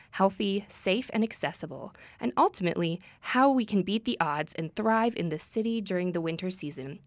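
Low-voiced woman reading a show intro. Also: no room sound. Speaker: radio host. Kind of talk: scripted intro.

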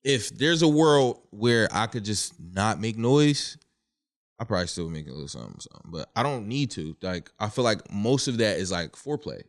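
A man's voice: lowish voice, sing-song, normal speed. A young man co-hosting a casual podcast, talking in a laid-back way and defending himself through jokes. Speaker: podcast co-host.

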